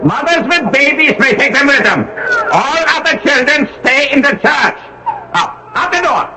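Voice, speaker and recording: An actor playing a harsh, domineering Gestapo officer in a radio drama, commanding and threatening in manner. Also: male voice, extremely high pitch, expressive, moderate pace. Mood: cold menace. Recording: noisy old radio drama recording.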